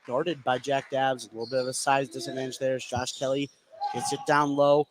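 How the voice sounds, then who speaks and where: A man's voice: medium pitch, little pitch movement, fairly quick. A man giving live radio play-by-play of a basketball game, following the action in clipped running phrases. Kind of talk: live radio sports commentary. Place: noisy indoor basketball gym. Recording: live broadcast with clear background noise.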